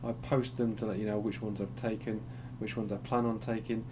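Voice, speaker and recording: Croaky man, halting chart talk, humming background. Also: lowish voice. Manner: rambling, hesitant, many ums and restarts. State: croaky voice from being unwell. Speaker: crypto chart analyst. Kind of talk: casual screen-share market commentary. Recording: steady background hum.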